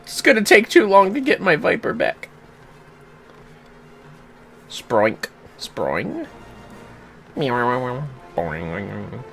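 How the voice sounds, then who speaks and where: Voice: medium pitch, wildly swooping pitch, drawn-out words, vocal fry, pauses often, fairly bright tone, soft background music. A game livestreamer chatting casually while playing, with wry, sweary asides.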